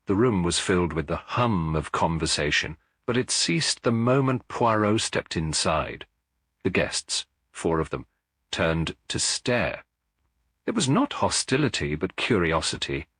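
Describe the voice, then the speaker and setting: A man with a low, husky voice narrates a detective story in a formal, dramatic style. His delivery is measured and highly melodic, with wide swings in pitch. The sound is close and dry. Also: big pitch swings, fairly bright tone, no echo. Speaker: audiobook narrator. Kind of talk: scripted dramatic mystery narration.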